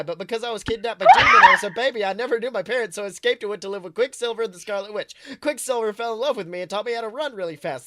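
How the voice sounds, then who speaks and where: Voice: mid-range voice, a bit bright, no room sound, clean studio sound, voice pushed up. The show's host voicing a gushing, boastful fifteen-year-old superhero girl from fan fiction, rattling off breathless boasts.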